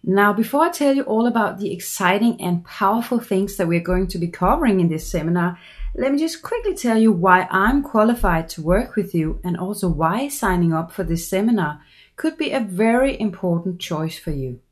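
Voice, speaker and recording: A middle-aged woman from Denmark with a Danish accent, a voice coach giving an earnest, measured promotional pitch for her seminar. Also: lively intonation. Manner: measured, earnest, persuasive delivery.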